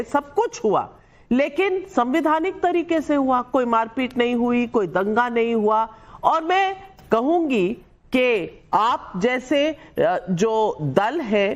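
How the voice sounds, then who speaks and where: Hoarse woman TV anchor, high and very lively, pressing and rhetorical. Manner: pressing, interrupting, rhetorical questions. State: hoarse, weak voice. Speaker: TV news debate anchor. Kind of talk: heated TV debate moderation.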